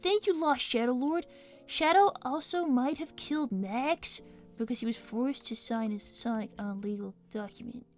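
A woman's voice: a little high, normal speed, soft background music, natural voice. A woman voicing an earnest, chatty young boy cartoon fox who hosts a game livestream in character. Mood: earnest, concerned. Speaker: livestream host playing a game. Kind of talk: in-character casual game commentary.

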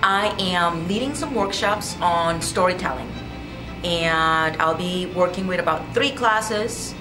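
Woman, lowish voice, a bit distant from the mic, hallway, music behind. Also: slow. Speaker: workshop leader. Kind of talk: interview. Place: school hallway.

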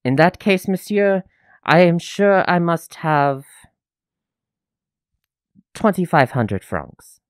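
A man's voice: a little high, expressive, slow, long pauses, clean tone, no echo, studio quality. A man playing a greedy French innkeeper in a radio drama, oily and haggling as he feigns fatherly love. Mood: calculating. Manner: oily, haggling, theatrically insistent.

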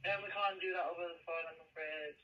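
A man's voice: a little high, fairly even intonation, fast talker, background noise. A man answering calls in a polite customer service manner, heard through a phone speaker in a room. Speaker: takeaway phone worker. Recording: tinny speakerphone picked up in a room.

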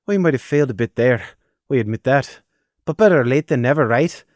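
A man's voice: mid-range voice, expressive, brisk, no room sound, clean studio sound, lightly acted. A man doing a nervous, pleading pony brother. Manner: hesitant, stammering and pleading. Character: young stallion, anxious and apologetic older brother.

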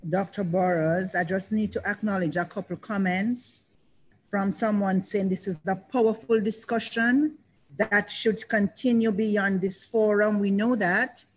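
An older woman leads a panel discussion over a video call. Her voice sits at a middle pitch, and she speaks in a reflective, measured way, pausing and restarting as she shapes each thought. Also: fairly even intonation, a little hiss or hum, low-quality recording. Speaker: panel moderator. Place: indoors, in front of a banner.

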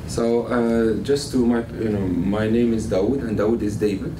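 A middle-aged man, giving a guest lecture to a college class, measured and full of fillers. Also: on the low side, fairly even intonation, noisy background. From Palestine. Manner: measured, many fillers, gestures with both hands. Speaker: guest speaker. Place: classroom with a blackboard. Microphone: far camera. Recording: echoey classroom from far off.

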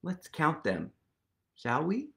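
Very animated man reading a picture book aloud. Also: a little high, takes it slowly, gravelly. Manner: playful, exaggerated wonder, asks listeners questions. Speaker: storytime reader. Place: small room at home, indoors.

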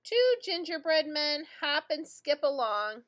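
Middle aged lady teacher reading a storybook to kids, slow n singsong, really bright voice. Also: high-pitched, big pitch swings. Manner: singsong, deliberate, addressing young children. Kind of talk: children's picture book read-aloud. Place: indoor school classroom.

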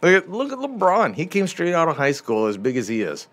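Man, northern US accent, podcast co-host, animated, joking, lively pitch. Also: a little fast. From Montana. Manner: animated, joking, swears casually. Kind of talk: casual chat.

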